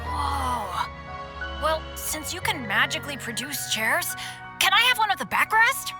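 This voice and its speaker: A woman's voice: sits high, very animated, a little slow, over music. A woman playing an eager, good-natured teenage boy adventurer in an anime game, with a boyish manner. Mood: delighted. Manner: enthusiastic, boyish. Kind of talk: anime game cutscene voice acting.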